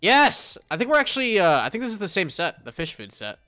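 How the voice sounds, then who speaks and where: A young adult woman chatting casually and playfully while gaming online, animated and encouraging. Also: medium pitch, fairly quick. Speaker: gaming video creator. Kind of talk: casual chat during online gameplay. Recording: noisy game audio, keyboard clicks.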